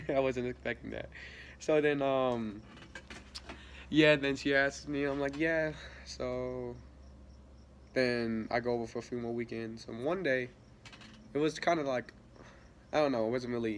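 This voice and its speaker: A man's voice: medium pitch, fairly even intonation, moderate pace, slight background noise. Rambling teenage guy telling a story. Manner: rambling, many fillers and restarts, soft laughs. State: a little sick. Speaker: vlogger. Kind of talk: casual storytelling vlog.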